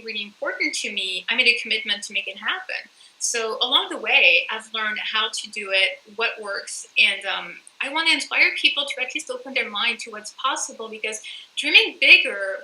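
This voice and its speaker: Bright, emphatic woman. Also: on the high side, animated, moderate pace, echoey room, slight background noise, rough recording. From France. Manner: earnest and emphatic. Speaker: guest coach and author. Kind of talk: conversational interview.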